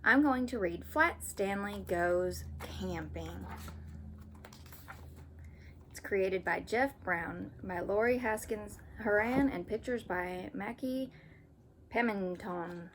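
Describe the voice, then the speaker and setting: A woman reading a children's story aloud like a teacher, with expressive storytelling. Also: mid-range voice, slow pace, slight background noise.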